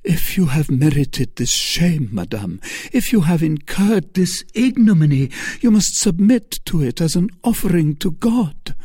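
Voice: medium pitch, very animated, measured pace, mellow, slightly rough, no echo, studio quality, voice pushed up. A narrator voicing a serious, pious male officer in an audiobook novel, speaking in a grave, formal, earnest manner. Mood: stern.

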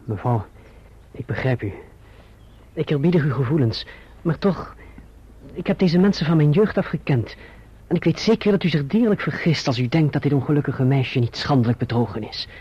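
A dull old radio play recording of a compassionate Dutch gentleman. His voice is very husky. He speaks with grave, formal courtesy and sincerity. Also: fairly high pitch, lively intonation, normal speed. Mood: earnest, grave. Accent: Netherlands Dutch. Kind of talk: radio drama acting.

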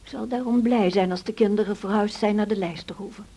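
Radio drama housekeeper, middle-aged, loyal and anxious, earnest storyteller, soft-edged husky voice. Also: female voice, medium pitch, fairly even intonation, fairly quick. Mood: solemn. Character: Nelly Dean, loyal anxious housekeeper recounting past events.

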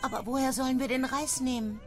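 A woman's voice: sits high, steady tone, fast, a little mellow, natural voice. A woman playing a determined, devoted young boy in a radio drama. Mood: urgent, reassuring.

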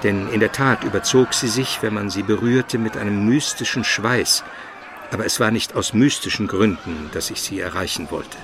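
A man's voice: on the low side, moderate pace, noisy background, close to an everyday voice. A man playing an educated Byzantine minister who narrates his memories solemnly and vividly in a radio play. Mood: horrified, solemn. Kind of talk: dramatic scripted first-person narration, radio play.

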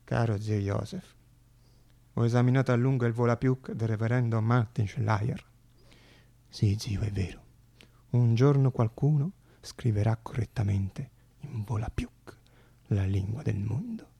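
Earnest young idealist, slightly husky. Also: male voice, fairly low pitch, warm tone, dry recording, exaggerated voice. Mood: grudging. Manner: intense, halting, repeats words, drops to whispers. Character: earnest young male student inventor, idealistic, anxious, excitable. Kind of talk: theatrical radio monologue.

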